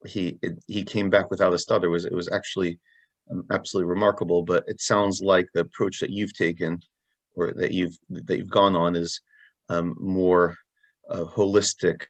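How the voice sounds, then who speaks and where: A man hosting a question-and-answer session, speaking haltingly with lots of ums. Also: low voice, no echo. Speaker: host. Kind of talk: moderating a Q&A.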